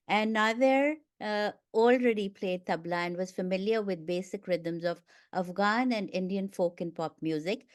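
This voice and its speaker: A woman with a mid-range voice hosts a radio interview show. She introduces her guest and asks questions in a friendly, upbeat manner, with some fillers. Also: medium pitch.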